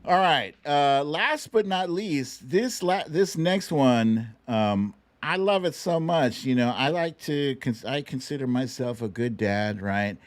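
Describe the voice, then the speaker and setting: A man hosting a livestream, giving casual, slangy and animated commentary on clips, with some swearing. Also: medium pitch, takes it slowly, few pauses, dry recording. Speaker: livestream show host.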